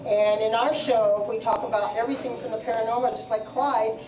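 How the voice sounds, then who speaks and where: A woman's voice: fairly high pitch, steady tone, fairly quick. Chatty woman in an echoey, crowded venue. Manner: chatty, hesitant, many fillers. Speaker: psychic medium and radio guest. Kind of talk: speaking live to an audience. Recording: echoey venue, distant mic, crowd behind.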